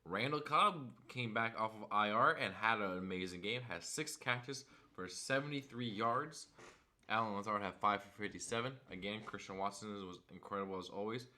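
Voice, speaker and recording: A hoarse young man, a frustrated fan, recapping a football game and reading off stats in a casual vlog. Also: fairly low pitch, normal speed, pushed and bright. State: hoarse from screaming.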